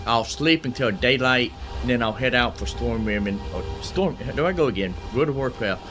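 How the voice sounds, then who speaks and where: A man's voice: mid-range voice, animated, moderate pace, over music. A man giving casual, rambling, folksy commentary as a gaming streamer. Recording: loud background noise under commentary. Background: game ambience and dialogue.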